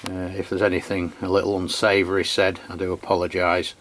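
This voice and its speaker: A man's voice: sits low, flat delivery, a little fast, fairly bright tone. A man giving a casual, unscripted hands-on review of equipment in a matter-of-fact way. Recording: clear background noise.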